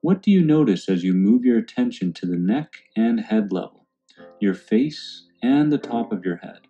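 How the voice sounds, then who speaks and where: A man calmly guiding a meditation in a soothing, unhurried way. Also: fairly low pitch, muffled recording. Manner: calm, soothing, unhurried instruction. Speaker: meditation guide. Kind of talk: guided meditation narration. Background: background music.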